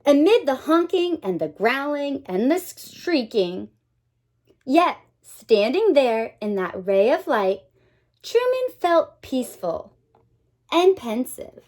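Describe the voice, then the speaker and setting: Animated, high-voiced woman reading to children. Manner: dramatic, expressive delivery with questions to young listeners. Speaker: teacher reading a picture book to young children. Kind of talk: animated children's read-aloud.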